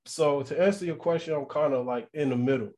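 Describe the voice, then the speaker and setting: A man's voice: fairly high pitch, brisk, a little mellow. A man with an American accent, from New Jersey and Florida, chatting casually and informally as a podcast guest. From New Jersey and Florida, United States. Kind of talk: casual chat.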